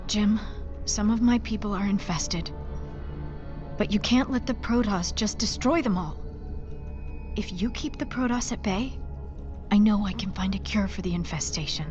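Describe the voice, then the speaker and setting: A woman's voice: mid-range voice, moderate pace, lightly acted. Woman acting earnest colony doctor over music. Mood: pleading. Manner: urgent, imploring. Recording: dull game audio under music.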